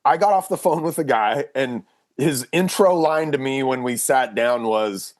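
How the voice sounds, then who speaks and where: A man's voice: medium pitch, a little slow. Male podcast host, casual and rambling. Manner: loose, rambling with many restarts.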